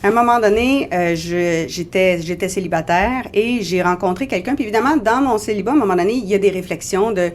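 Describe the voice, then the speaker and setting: A woman's voice: medium pitch, brisk, few pauses. A woman in her forties with a Québécois French accent, a guest in a radio interview, explaining her experiences in an earnest, reflective way. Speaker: guest author.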